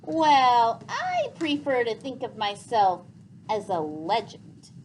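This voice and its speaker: A woman's voice: a little high, huge pitch swings, takes it slowly, darkish tone, exaggerated voice. A woman voicing a world-weary, self-important male rat from a storybook, wry and boastful. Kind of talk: storybook character reading. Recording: faintly noisy classroom recording.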